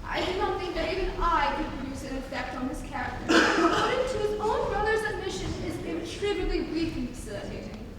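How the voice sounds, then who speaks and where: A woman's voice: very high-pitched, animated, fast, few pauses. A woman playing a stern, proper middle-aged governess with a formal, admonishing manner in a staged reading of a period comedy. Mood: prim scolding. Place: large indoor hall, live performance. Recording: distant, echoey noisy hall.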